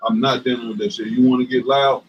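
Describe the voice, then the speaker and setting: A man with a deep voice and a Southern US accent, co-hosting a couples livestream and talking bluntly with lots of swearing and fillers. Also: steady tone, fast, lo-fi sound, a little hiss or hum. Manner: profane and emphatic, many fillers. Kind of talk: casual livestream chat.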